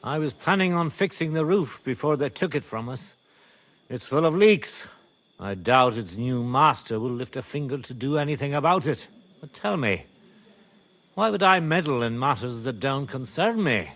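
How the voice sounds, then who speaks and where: An actor playing a middle-aged medieval nobleman and father, speaking in a measured, wry and wary manner for a video game. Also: medium pitch, expressive, fairly bright tone. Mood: skeptical. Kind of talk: video game cutscene acting.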